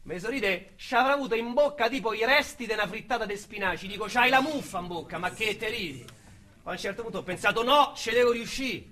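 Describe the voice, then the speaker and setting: A man's voice: high-pitched, expressive, brisk, pushed and bright. A young man with a Roman accent, acting a crude, boastful small-time ex-convict in a radio drama, talking in blunt, mocking street slang. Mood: boastful storytelling. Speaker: lead actor.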